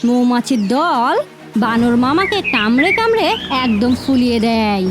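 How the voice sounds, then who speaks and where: A woman narrating a scripted fairy tale as an expressive storyteller. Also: fairly high pitch, measured pace, loud music behind the voice.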